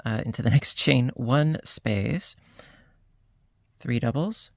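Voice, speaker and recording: A crochet instructor, slightly hoarse, calmly calling out each stitch in a step-by-step tutorial. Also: mid-range voice, no echo. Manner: calm, unhurried, talks through each stitch.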